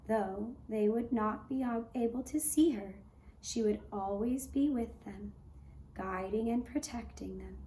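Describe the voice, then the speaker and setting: A woman giving gentle scripted narration of a tale as a puppet show storyteller, soft and deliberate, with long pauses. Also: mid-range voice, roomy sound, slight background noise, rough recording.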